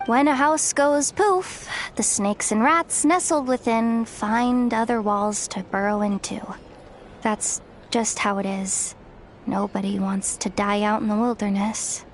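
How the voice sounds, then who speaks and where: A woman's voice: fairly high pitch, steady tone, measured pace, a little hiss or hum, low-quality recording. A woman voicing an aloof, world-weary hired underworld operative in an anime-style video game, blunt, nonchalant and cynical.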